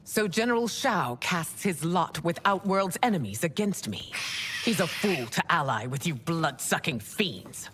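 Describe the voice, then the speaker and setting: An actress playing a proud, imperious and indignant mature empress in a dramatic video game cutscene. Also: female voice, lowish voice, sing-song, unhurried, background noise. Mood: scornful, betrayed. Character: proud, betrayed warrior empress, mature and commanding.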